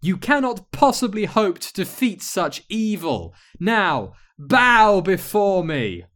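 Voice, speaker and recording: A man's voice: sits high, big pitch swings, slow pace, fairly bright tone, voice pushed up, exaggerated voice. A man voicing an evil, gloating storybook witch in a theatrical, declamatory manner. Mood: gloating, menacing. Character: evil cackling storybook witch, grandiose and menacing.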